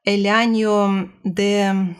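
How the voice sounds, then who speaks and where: Woman doing a casual language learning vlog, talks slowly, bit nervous, keeps searching for words. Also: mid-range voice, flat delivery, clear, resonant tone. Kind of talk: casual personal report to camera.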